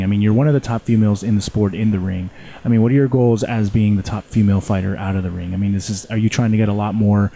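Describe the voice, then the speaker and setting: Low, mellow male interviewer, rambling. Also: a little hiss or hum. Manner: rambling, repeats filler phrases. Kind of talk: casual phone interview.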